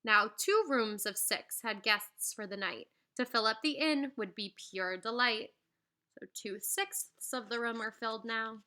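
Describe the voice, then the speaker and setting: Woman, children's read-aloud, slow pace, piercingly bright tone, animated singsong storytelling. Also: fairly high pitch. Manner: animated, singsong rhyming delivery with teacherly asides. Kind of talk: expressive children's picture book read-aloud.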